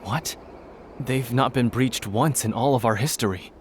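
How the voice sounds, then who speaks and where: A man playing a noble, earnest young warrior prince in a video game, speaking in a measured, formal way. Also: medium pitch, a little hiss or hum, close to an everyday voice. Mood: questioning. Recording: loud music behind the voice. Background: game music.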